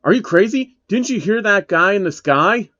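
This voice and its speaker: A man's voice: sits high, expressive, moderate pace, bright, forceful tone, no room sound, muffled recording, pitched above the performer's own voice. A man voicing an alarmed young game player in a story, pleading urgently for sense.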